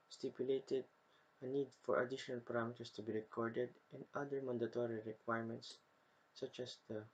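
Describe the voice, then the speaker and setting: A young adult man with a mid-pitched voice narrates a slide presentation from a script. He reads it out carefully, one phrase at a time, in the manner of a student presenting. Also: husky, muffled recording.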